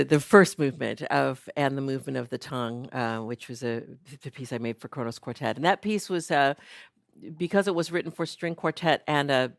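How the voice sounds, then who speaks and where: A woman's voice: rumbling low, vocal fry, fairly bright tone, dry recording, studio quality. A woman artist giving an informal seminar talk about her work, chatty and full of fillers and restarts. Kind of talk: informal lecture. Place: seminar room.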